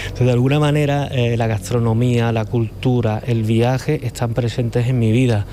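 A middle-aged painter with a Cádiz Andalusian accent, chatting as an interview guest in a loose, rambling way. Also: male voice, mid-range voice, fairly even intonation, normal speed, dark tone, slight background noise. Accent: Cádiz Andalusian Spanish. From Cádiz, Spain. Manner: rambling, loose talker who often circles back. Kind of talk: relaxed conversational interview.

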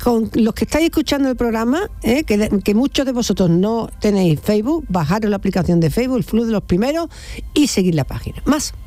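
A woman's voice: a little high, lively intonation, warm tone, soft background music. A woman from Castilla-La Mancha hosting a live morning radio show, chatting playfully with callers. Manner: chatty, playful, quick to banter.

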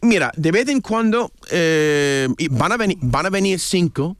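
A man's voice: a little high, expressive, a little slow, dry recording, clean studio sound. Eager man with a native English background. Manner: hesitant, searching for words, enthusiastic. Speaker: radio guest. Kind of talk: casual radio conversation.

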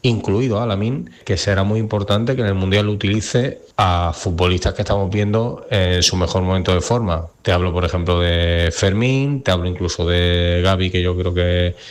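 A man giving unscripted football analysis, with frequent hesitant pauses as he talks. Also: low voice. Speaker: football pundit.

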